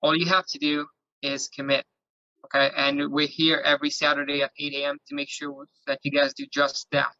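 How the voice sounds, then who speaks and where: A man's voice: fairly high pitch, completely flat delivery, moderate pace, bright, forceful tone, no echo. A man co-hosting a fitness group call, thanking listeners and encouraging them with "uh" fillers. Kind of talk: wrap-up to a workshop group. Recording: dull lo-fi call audio.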